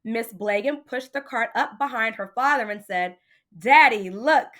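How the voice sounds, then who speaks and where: Female storyteller, teacher type, animated read-aloud delivery, talks straight to the kids. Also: fairly high pitch, normal speed. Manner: addresses child listeners directly, with questions. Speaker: teacher reading a picture book aloud.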